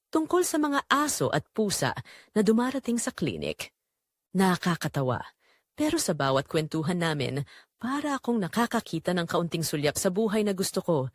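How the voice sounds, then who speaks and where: A woman narrating a scripted first-person romance story in an expressive, sentimental storytelling manner. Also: medium pitch, no room sound, studio quality.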